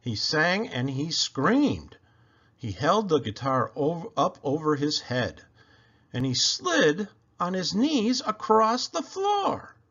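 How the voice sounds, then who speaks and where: Very animated man reading a storybook. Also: on the high side, takes it slowly, breathy edge, lo-fi sound. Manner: expressive, emphatic. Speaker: children's book reader. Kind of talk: animated storybook read-aloud narration.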